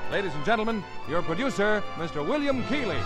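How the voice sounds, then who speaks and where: A man's voice: high voice, big pitch swings, fast, loud music behind the voice. A man announcing an old-time radio show in a grand, declamatory style. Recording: vintage 1950 radio broadcast recording.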